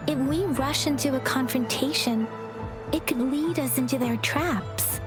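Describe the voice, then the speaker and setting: A young woman playing a gentle, earnest, cautious companion in a video game, speaking in a measured, polite way. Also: sits high. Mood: cautious, serious. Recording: background music under the voice.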